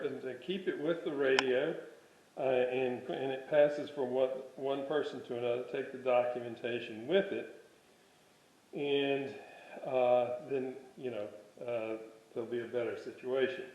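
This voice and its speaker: A man's voice: medium pitch, darkish tone. Guy rambling through a hobby workshop explainer, stops and restarts, roomy echo, kinda dull lo-fi audio. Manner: halting, rambling, self-correcting. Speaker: radio restorer presenting his project. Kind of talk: informal explanatory workshop talk.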